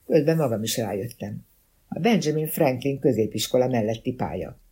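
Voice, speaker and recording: A man reading a first-person detective novel as a scripted audiobook narration, with a husky voice. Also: mid-range voice, animated, dark tone.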